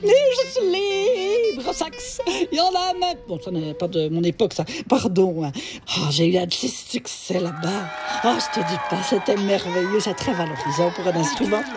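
A woman voicing a chatty, boastful female saxophone in a cartoon, animated and full of exclamations. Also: medium pitch, normal speed, lightly acted. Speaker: talking instrument telling her history. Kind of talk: cartoon voice acting. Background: background music.

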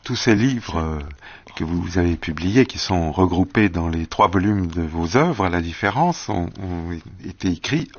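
Deep voiced guy interviewing, soft questions, dry dull audio. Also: expressive, measured pace, no room sound.

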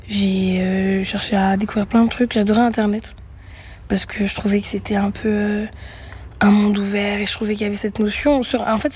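A young woman who grew up in Greece, a musician guest answering interview questions thoughtfully, with many pauses and restarts. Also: medium pitch, a little mellow, slightly rough. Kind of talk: reflective radio interview answers.

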